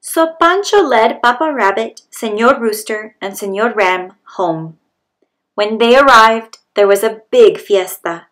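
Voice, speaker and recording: A woman's voice: a little high, animated, measured pace, a bit bright. A woman reading a children's story aloud as a storybook narrator. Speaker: storybook reader.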